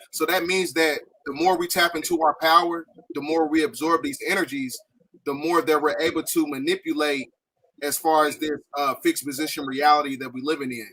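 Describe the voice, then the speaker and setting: A man with a somewhat high voice and a very bright, forceful tone speaks as a show guest. He explains at length in a steady flow packed with technical-sounding terms. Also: low-quality recording. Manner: steady, jargon-heavy explaining. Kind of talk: casual podcast chat.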